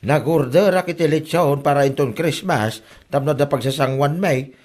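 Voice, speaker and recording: A man's voice: on the high side, moderate pace, a little mellow, lightly acted. A man with an Ilocano accent playing a grumbling, thrifty husband and father in a comedy drama, exasperated about money.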